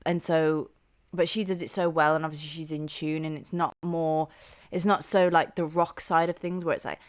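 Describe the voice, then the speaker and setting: A young woman hosting a music reaction channel, chatting casually and enthusiastically with a soft voice and lots of "like" and "um". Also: low-pitched, steady tone, moderate pace. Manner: chatty and enthusiastic, heavy use of "like" and "um".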